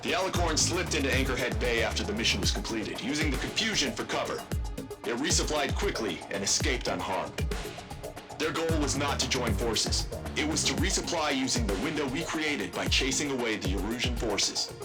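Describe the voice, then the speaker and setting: An actor playing a stern, authoritative senior military chief in a game cutscene, speaking in a measured, commanding, accusatory way. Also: male voice, mid-range voice, lively intonation, lightly acted. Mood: grave, commanding. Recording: loud music over the voice.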